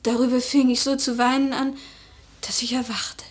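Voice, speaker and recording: A proud, troubled noble widow in a classical radio play, acted by a woman. Her voice is fairly high, with haughty, theatrical delivery that can drop toward a whisper. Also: flat delivery, moderate pace, close to an everyday voice. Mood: agitated, haunted. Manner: haughty, dramatic, whispery at times. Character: imperious, troubled noble widow haunted by a dream. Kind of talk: classical German radio drama acting.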